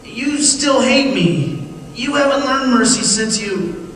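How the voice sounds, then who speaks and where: Man playing a desperate condemned man, high and tense. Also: lively intonation, slow, a bit bright, heavily acted. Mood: desperate accusation. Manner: pleading, agitated, insistent. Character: desperate condemned man pleading with ghosts of the dead. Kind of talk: old-time radio horror acting. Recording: echoey reverberant radio drama, distant, hissy.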